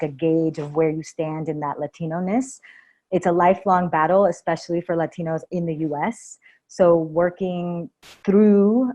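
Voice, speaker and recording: A woman's voice: deep voice, darkish tone. A woman from the United States speaking informally and earnestly as a guest on a casual video-call panel, sprinkling her talk with "like". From United States (Miami, later Tennessee). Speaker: interview guest, cannabis alliance member.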